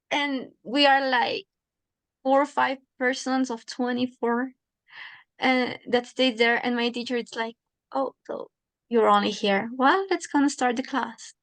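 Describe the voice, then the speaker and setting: Slow, giggly teenage woman. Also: sits high, fairly bright tone, no echo. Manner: chatty, giggly, teasing. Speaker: university student, interviewee. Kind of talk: casual conversation practice.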